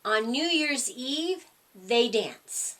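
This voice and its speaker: Woman voicing an excited little mouse, high and lilting. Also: very slow, exaggerated voice. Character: excitable little female mouse bringing gossip to friends. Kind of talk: children's storybook character reading.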